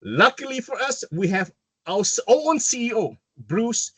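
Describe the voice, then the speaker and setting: A man's voice: high-pitched, measured pace, slightly rough, dry recording. A man from Malaysia with a mixed Malaysian and British accent, giving an enthusiastic webinar talk over a video call, often stopping and restarting his sentences. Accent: Malaysian-British mix. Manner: animated, enthusiastic, self-interrupting. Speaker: guest speaker. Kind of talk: webinar presentation.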